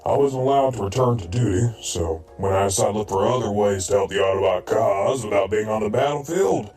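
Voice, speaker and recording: An actor playing a hearty, joking, heavyset robot ex-soldier turned medic in an audio drama, very expressive. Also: male voice, fairly low pitch, brisk, distant mic, lightly acted. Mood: earnest, grateful. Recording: roomy, off-mic, music behind.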